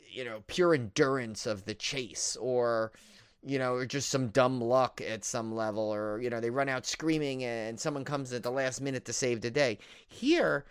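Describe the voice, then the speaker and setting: Guy cohosting a movie podcast, mid pitch, kinda rambly and halting but into it, really dry sound. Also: no room sound. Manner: rambling, halting, self-correcting, enthusiastic. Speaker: horror movie podcast co-host. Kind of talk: casual film discussion.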